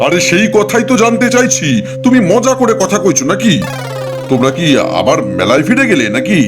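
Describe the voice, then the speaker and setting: A man's voice: sits high, lively intonation, a little fast, loud music behind the voice. A man playing a worried, testy old village father-in-law in a folk-tale cartoon, fussy and exasperated. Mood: puzzled, alarmed.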